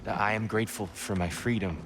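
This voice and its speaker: An actor playing a humbled ancient god who has renounced war, speaking softly and with regret. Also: male voice, on the low side, steady tone, natural voice. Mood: solemn. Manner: soft-spoken, measured, regretful. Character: weary ancient male god, gentle and humbled. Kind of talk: video game cutscene acting. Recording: game audio with music underneath.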